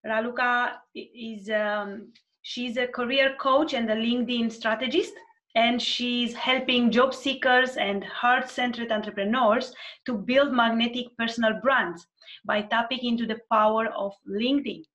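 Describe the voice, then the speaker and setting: A woman from Romania, hosting an online interview and speaking haltingly with many restarts. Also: a little high, steady tone, fairly bright tone. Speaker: podcast host.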